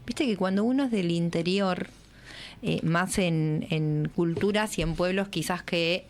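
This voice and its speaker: A middle-aged woman with an Argentine accent, guesting on a radio chat show and talking about where she grew up. Her voice sits a little low and sounds smooth and clear. She talks at an easy storytelling pace, with fillers and small restarts. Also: unhurried. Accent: Argentine Spanish. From Entre Ríos, Argentina. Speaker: guest, local councilwoman. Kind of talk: casual radio interview.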